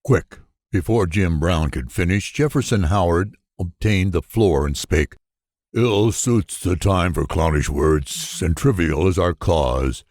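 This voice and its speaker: Bass-leaning male poetry reader, measured, declamatory, dry studio sound. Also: a little mellow, dry recording. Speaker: poetry reader in a group recording. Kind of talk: declamatory verse reading.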